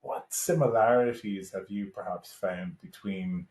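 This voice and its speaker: A man with an Irish accent hosting a casual interview, asking questions in a hesitant, halting way. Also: low-pitched, a little mellow. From Ireland. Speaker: interviewer, host. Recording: echoey room sound.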